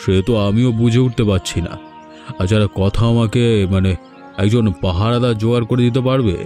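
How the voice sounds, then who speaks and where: A man's voice: on the low side, steady tone, moderate pace, warm tone, soft background music, close to an everyday voice. Haripada, a man as a worried village landlord. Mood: measured. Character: well-off male village landlord, worried, authoritative. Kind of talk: Bengali cartoon dubbing.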